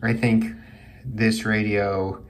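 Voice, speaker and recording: A man's voice: on the low side, flat delivery, slow, a little hiss or hum. A man giving a casual hands-on demonstration as a hobbyist host, thinking aloud in a tentative way.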